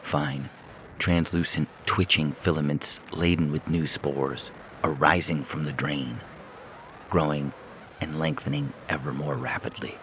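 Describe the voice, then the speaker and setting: A man reading a scripted short story as narrator, in a measured, deliberate way. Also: very deep voice, expressive, creaky, fairly bright tone, slight background noise, poor audio. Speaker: narrator and podcast host.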